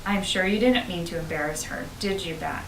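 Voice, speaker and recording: Woman voicing calm male schoolteacher, patient, storybook dialogue, distant, roomy recording. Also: lowish voice, fairly quick, lightly acted. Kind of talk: children's storybook character reading. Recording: roomy, distant, noisy home-style recording.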